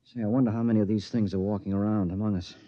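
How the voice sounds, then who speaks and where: A man's voice: fairly low pitch, fairly even intonation, racing, warm tone, no room sound, natural voice. A blunt, practical married man who takes charge with a commanding, matter-of-fact tone, as an actor plays him. It sounds dry and muffled, straight off a 1950s broadcast. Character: practical middle-aged husband friend. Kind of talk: 1950s radio acting. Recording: dull 1950s radio recording.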